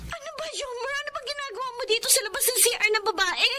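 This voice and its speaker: A woman's voice: extremely high pitch, fast talker, background noise. A woman playing a spirited young college student in a Filipino radio drama, with a Filipino accent. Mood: indignant, distressed. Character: young female college student, spirited daughter of well-off mother.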